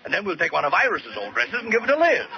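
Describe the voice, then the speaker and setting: A man's voice: fairly high pitch, huge pitch swings, fast, gravelly, heavily acted. An actor playing a jovial, scheming middle-aged married businessman in a hearty, conspiratorial old radio sitcom style. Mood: amused, plotting. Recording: muffled, noisy vintage radio recording.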